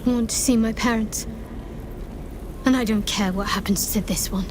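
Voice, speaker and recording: Woman as a high-voiced, wounded ex-cultist. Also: fairly even intonation, normal speed, noisy background, close to an everyday voice. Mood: cold resolve. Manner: terse, demanding. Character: wounded, resolute young ex-cultist woman seeking her parents. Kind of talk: dramatic fantasy game acting. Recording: music playing behind the voice.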